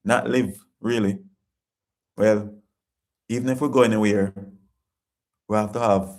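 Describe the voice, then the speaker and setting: A man's voice: on the low side, a little mellow. A Jamaican man hosting a casual live-stream chat, reading viewer comments and talking in an earnest, repetitive, preachy way.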